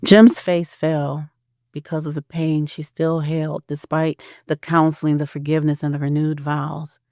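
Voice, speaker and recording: A woman with a very low voice narrates a scripted audio drama. The recording is very dry and close, with no room around her. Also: little pitch movement, unhurried, darkish tone, no echo.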